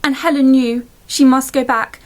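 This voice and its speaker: A woman's voice: high-pitched, steady tone, normal speed. A woman narrating a bedtime story in a playful, mock-solemn storybook manner.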